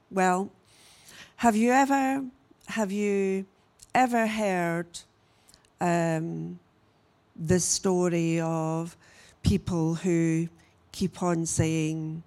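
Older lady in her 60s giving a church talk, slow and halting, kinda low voice. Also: darkish tone. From Scotland. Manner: halting, unhurried storytelling with frequent pauses. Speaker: guest speaker, missionary. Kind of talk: church message, personal testimony.

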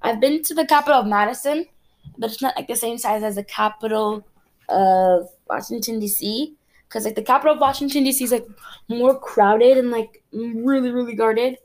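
Teen girl from cameroon rambling on her podcast, earnest and chatty, voice a bit high. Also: moderate pace, darkish tone, poor audio. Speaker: podcast host. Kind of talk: casual solo podcast chat.

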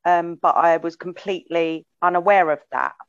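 A woman guest on a podcast sharing her personal story. She speaks softly and hesitantly, with frequent ums. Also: lowish voice, fairly even intonation, moderate pace, no room sound, highs cut off.

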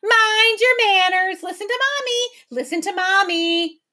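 A woman voicing a gang of jeering, furry green swamp creatures who chant and mock in a shrill, sing-song way. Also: unhurried, bright, forceful tone, heavily acted.

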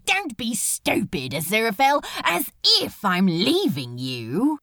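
A woman's voice: medium pitch, wildly swooping pitch, unhurried, fairly bright tone, dry recording, studio quality, heavily acted. A woman voicing a defiant, fiercely devoted male demon in an audiobook, urgent and pleading with emotion. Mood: defiant, insistent. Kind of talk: dramatic fan fiction audiobook dialogue.

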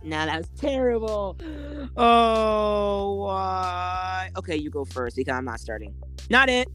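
A woman's voice: medium pitch, big pitch swings, slow pace, a bit bright, soft background music. A woman co-hosting silly banter, giggly and teasing. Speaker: co-host.

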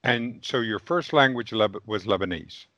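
A man born in Mexico hosting a casual interview, asking questions in a deliberate way with stumbling restarts. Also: lowish voice, dull audio, crowd noise. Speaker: interview host.

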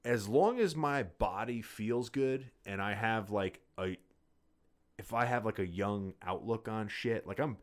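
A man's voice: lowish voice, measured pace. A man in his thirties chatting casually in a rambling, informal, sweary way, like a podcast co-host. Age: 30s. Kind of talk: casual chat, profane.

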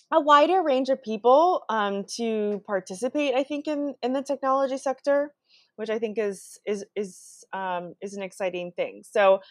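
A woman raised in the US and born in Brazil, a guest answering interview questions thoughtfully, with frequent pauses and restarts. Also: mid-range voice, no room sound, clean studio sound.

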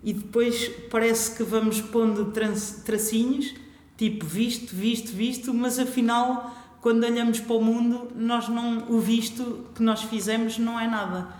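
A woman from Portugal with a European Portuguese accent, a guest talking about her travels in a relaxed, thoughtful interview. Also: a little high, normal speed. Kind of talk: casual interview chat. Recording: echoey room with long reverb.

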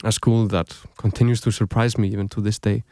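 A man's voice: lowish voice, little pitch movement, a little fast, warm tone, no echo. Young Icelandic man, dry close podcast voice. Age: young adult. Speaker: podcast host. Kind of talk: scripted podcast narration.

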